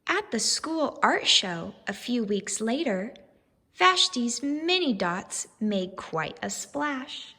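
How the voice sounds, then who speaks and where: A woman reads a children's story like a teacher, slowly and with big swings in pitch. Her voice is husky and expressive, and it rings with a long reverb. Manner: expressive, deliberate, addressing young children. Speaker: teacher reading aloud. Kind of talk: children's storybook read-aloud with questions.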